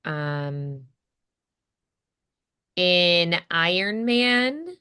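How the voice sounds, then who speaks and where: A woman giving a casual one-on-one voice acting lesson. Her voice is smooth and a little low, and she goes at a very unhurried pace, thinking aloud with plenty of "like" as she feels her way along. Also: animated, sharp tone. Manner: hesitant, thinking aloud, peppered with "like". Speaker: voice acting teacher.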